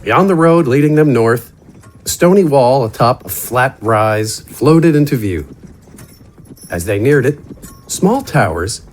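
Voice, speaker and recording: Lively male narrator, varied intonation, descriptive fantasy storytelling, faint ambience underneath. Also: medium pitch, slight background noise. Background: horse and outdoor ambience effects.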